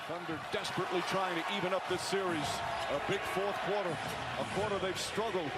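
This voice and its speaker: A man's voice: high-pitched, fairly even intonation, fairly quick. A man calling live basketball play by play in clipped phrases that follow the action. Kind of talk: live sports commentary. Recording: loud arena crowd noise throughout. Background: cheering basketball crowd, bouncing ball.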